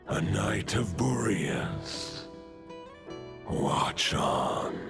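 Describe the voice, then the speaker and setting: An actor playing an ancient wolf god who speaks slowly, gravely and in a half-whisper. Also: male voice, low-pitched, full character voice. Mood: solemn. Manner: slow, grave and resigned.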